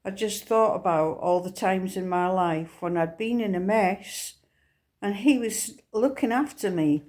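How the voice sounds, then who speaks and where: Slow-spoken Scottish woman sharing testimony. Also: medium pitch, darkish tone. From Scotland. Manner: earnest, unhurried storytelling. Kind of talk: personal faith testimony.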